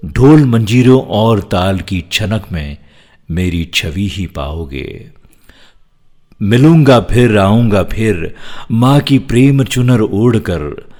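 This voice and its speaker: A devoted festival spirit played by a man speaks in a low, husky voice. It declaims poetically and slowly, full of feeling, as in a staged devotional monologue. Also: animated, darkish tone. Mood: wistful farewell.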